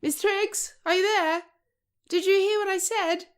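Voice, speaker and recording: A woman's voice: very high voice, fairly even intonation, unhurried, pitched above the performer's own voice. A woman voicing a flustered, stammering man who pleads urgently, in a scripted screenplay reading. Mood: desperate pleading. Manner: hesitant, stuttering, urgent. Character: flustered, stammering male reality show contestant, pleading.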